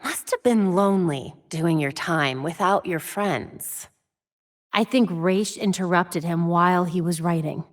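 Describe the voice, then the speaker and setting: A woman's voice: on the low side, a little slow, dry recording, clean studio sound, close to an everyday voice. An actress voicing a witty, determined young female vigilante and detective in scripted video game lines, with a wry, earnest delivery. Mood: wry, focused. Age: young adult.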